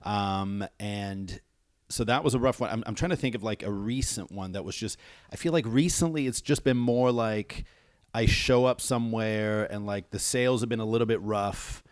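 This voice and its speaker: A man from the Netherlands with an American accent, talking casually as a guest on a podcast. His voice sits fairly low, and it is recorded close and dry. He often laughs as he tells his stories. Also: normal speed, no room sound. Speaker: comedian guest.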